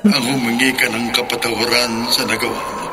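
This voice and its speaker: A man's voice: medium pitch, little pitch movement, natural voice. An actor playing the weary, forgiving ghost of an old grandfather in a horror radio drama. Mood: solemn, at peace. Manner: slow, solemn, measured. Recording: heavy background noise.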